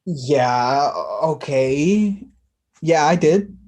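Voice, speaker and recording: Woman playing a defensive younger brother, swooping low voice. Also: animated, drawn-out words, warm tone, exaggerated voice. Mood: sheepish, surprised. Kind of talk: staged play reading, naturalistic dialogue.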